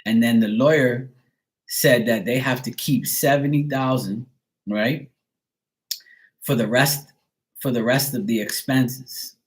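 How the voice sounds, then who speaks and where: A man's voice: medium pitch, takes it slowly, long pauses, poor audio. A man from Bermuda chatting casually on a live stream, telling rambling everyday stories with lots of fillers. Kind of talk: casual chat.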